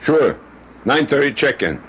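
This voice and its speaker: A man's voice: mid-range voice, sing-song, a little slow, a bit bright, slight background noise. An actor playing an earnest veteran patrolman in old-time radio drama, speaking plainly and with concern. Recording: dull old radio recording with music.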